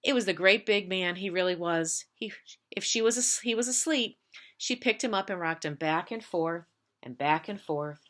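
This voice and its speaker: A woman's voice: on the low side, moderate pace, a bit bright. A woman reading a picture book aloud to young pupils as a member of school staff, lingering on repeated phrases. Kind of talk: children's storybook read-aloud.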